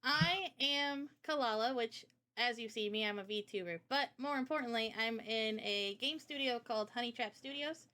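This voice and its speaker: A woman's voice: a little high, normal speed, few pauses, pushed and bright. A woman guesting on a casual livestream as a game developer, with a bubbly, giggly, teasing way of talking. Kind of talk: casual livestream chat and introduction.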